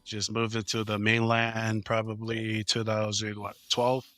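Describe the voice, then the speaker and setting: A man in his thirties from American Samoa talks through his work in a loose, halting way, filling gaps as he goes. His voice is bright and forward, recorded close and dry with faint music underneath. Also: fairly low pitch, no echo. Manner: relaxed, halting, many fillers. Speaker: business owner guest. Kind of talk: casual interview answers.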